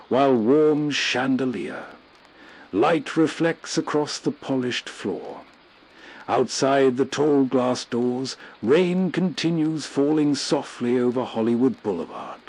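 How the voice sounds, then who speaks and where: A man's voice: medium pitch, darkish tone, breathy edge, poor audio. A man hosting with scripted, atmospheric storytelling narration. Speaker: show host.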